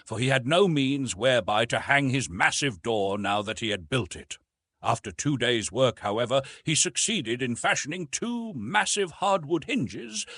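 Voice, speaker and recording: Expressive, husky male audiobook narrator. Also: mid-range voice, dry recording. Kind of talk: scripted narration of classic adventure fiction.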